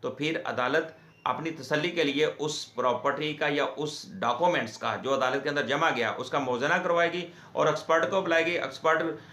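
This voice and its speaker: A man with a Pakistani accent giving an informal legal lecture to viewers in a halting, repetitive way. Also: on the high side, bright, forceful tone. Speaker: legal explainer, host of a law channel. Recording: echoey, reverberant room sound.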